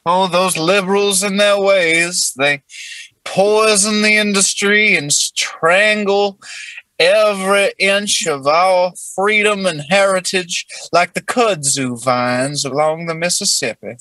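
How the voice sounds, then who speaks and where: A man voicing a lewd, old-fashioned Southern gentleman with a florid, courtly drawl in an improvised comedy role-play. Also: high-pitched, slow, no room sound, full character voice, low-quality recording. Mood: haughty.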